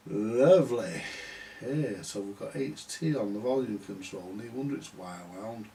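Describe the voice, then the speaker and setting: A man giving halting, unscripted commentary at a workbench, muttering to himself as he works things out. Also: lowish voice, lively intonation, creaky, dark tone. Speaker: vintage radio repair hobbyist. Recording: distant mic with background hiss.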